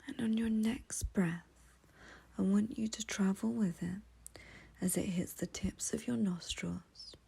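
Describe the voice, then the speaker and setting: A woman leading a guided meditation in a soft, breathy, whispery voice, gentle and soothing, with long pauses. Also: medium pitch, fairly even intonation.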